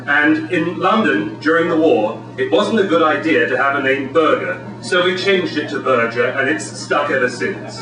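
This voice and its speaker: A plainspoken man gives a combative stump speech in a slightly high voice. He sounds far from the mic in a heavily echoing hall. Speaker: candidate for city attorney. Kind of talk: campaign speech. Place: community meeting hall.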